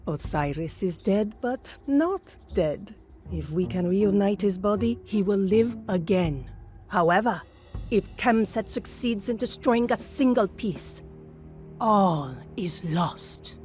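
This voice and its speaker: A woman's voice: mid-range voice, lively intonation, slow, a little mellow, soft background music. A woman voicing a firm, commanding warrior in a game cutscene. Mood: stern. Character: stern warrior woman guide.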